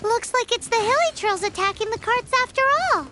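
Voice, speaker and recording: Tiny squeaky cheeky fairy girl. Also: fairly quick, noisy background. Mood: cheeky, chirpy. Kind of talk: anime video game voice acting.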